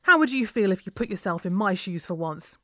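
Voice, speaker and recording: A woman's voice: medium pitch, animated, brisk, bright, forceful tone, dry recording, clean studio sound. A woman voicing a bullied, emotional girl in a storybook, speaking in dramatic exclamations. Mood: hurt, defensive. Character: dramatic, hurt, bullied girl dreaming of escape.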